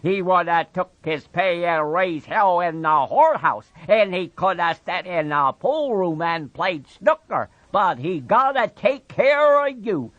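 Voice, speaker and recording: Brief: a man putting on a sharp-tongued scolding old aunt, high shrill voice, drawl, dull lo-fi audio. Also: expressive, a little slow, hardly any pauses, dry recording. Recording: dull lo-fi, dry.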